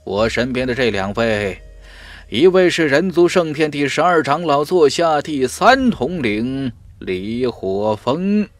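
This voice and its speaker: Male narrator as stately elderly fantasy commander; formal, very slow, raised swooping pitch. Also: animated, no room sound. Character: authoritative purple-bearded old male temple commander.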